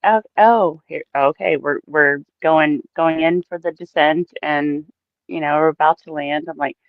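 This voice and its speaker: A woman's voice: deep voice, a little slow, dry recording. A woman in casual conversation, reacting and chiming in, on slightly muffled lo-fi audio.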